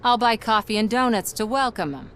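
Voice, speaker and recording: A woman's voice: on the high side, expressive, fairly quick, a bit bright, a little hiss or hum. An actress playing a determined, wry woman activist in a video game, with an earnest way of talking. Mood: sarcastic. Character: determined, wry female activist snooping on a corporation.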